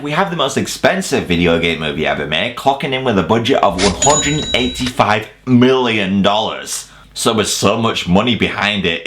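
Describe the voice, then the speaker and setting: A man's voice: lowish voice, background noise. A man hosting a scripted countdown review, with casual swearing and mock-incredulous asides. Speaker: video reviewer and host.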